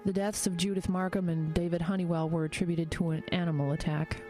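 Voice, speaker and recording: A woman acting a frightened, compassionate investigator in an audio horror drama, earnest and halting under strain. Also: lowish voice, level tone, mellow, soft background music, lightly acted. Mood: somber narrating.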